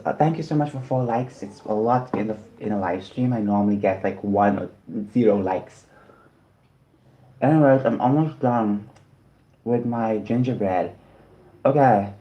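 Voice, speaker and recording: A man from South Africa talks casually through a livestream, rambling from one thought to the next and apologizing often. His voice is dark and mellow, and his pitch sits in a middling range. He speaks from a room at home. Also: low-quality recording. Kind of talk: casual livestream chat while eating.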